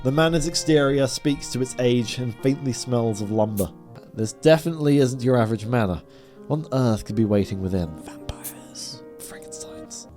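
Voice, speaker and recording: A man's voice: mid-range voice, normal speed, long pauses, mellow. A man reading a visual novel aloud on stream, breaking off into wry, joking banter. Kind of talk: live playthrough narration with casual banter. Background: music.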